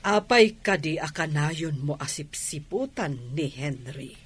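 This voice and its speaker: A husky, trusting, loyal wife. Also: female voice, deep voice, expressive, a little slow, exaggerated voice. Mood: defensive, hurt. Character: gentle, trusting wife defending her man. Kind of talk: Ilocano radio drama acting.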